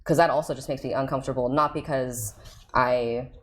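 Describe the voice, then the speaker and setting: A young transmasculine guest talking reflectively in a casual podcast conversation, with many fillers and restarts. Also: male voice, medium pitch, steady tone, a little fast, a bit bright. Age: young adult.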